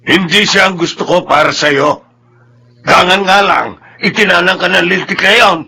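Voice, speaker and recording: A man's voice: high voice, moderate pace, gravelly, bright, forceful tone, husky, heavily acted. An actor playing a bitter, unforgiving Filipino father, scolding harshly in a radio drama. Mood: furious. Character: bitter, unforgiving father, angry at his daughter.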